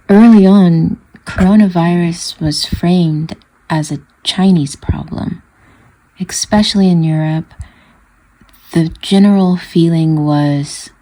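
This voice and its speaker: A middle-aged woman, a social epidemiologist, explaining in a measured way as she narrates and vlogs. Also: lowish voice, warm tone, low-quality recording. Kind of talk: personal vlog and voice-over narration.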